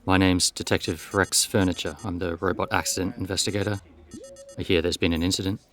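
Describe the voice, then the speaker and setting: Low-pitched male voice, aging cynical detective, deadpan, radio drama, music underneath. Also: a little fast. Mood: businesslike, dry. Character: world-weary male detective nearing seventy, dryly cynical. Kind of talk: radio play detective narration and dialogue.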